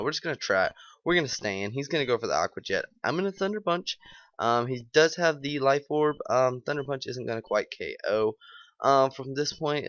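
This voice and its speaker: A man's voice: medium pitch, fairly bright tone, no room sound. A young man with a sick, scratchy, stuffed-up voice, casually commenting on his own online game battles and thinking aloud with many ums. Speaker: gaming channel host.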